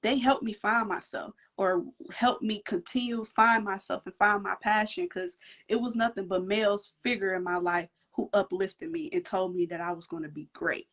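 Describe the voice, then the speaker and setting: A woman with a soft voice sharing personal experiences in an earnest, casual way, often repeating and restarting phrases. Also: medium pitch, steady tone, normal speed. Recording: dull lo-fi audio.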